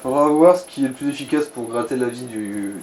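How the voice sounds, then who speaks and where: A man's voice: mid-range voice, a little fast, a little mellow. A man casually co-commenting on a video game, giving advice in blunt slang. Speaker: co-commentator advising the player. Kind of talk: casual gaming chat.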